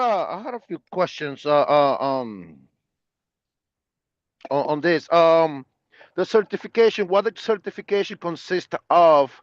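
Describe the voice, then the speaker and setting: A man's voice: on the high side, clean tone, dry recording. Hesitant man on a dull, dry call line. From Puerto Rico. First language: Portuguese. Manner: hesitant, many fillers and restarts. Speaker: caller asking questions.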